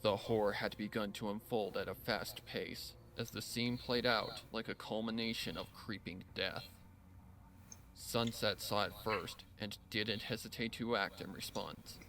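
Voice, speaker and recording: A man's voice: on the low side, steady tone, unhurried, bright, forceful tone, a little hiss or hum. A man reading a scripted story as narrator, with a solemn, dramatic delivery. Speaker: narrator reading fan fiction.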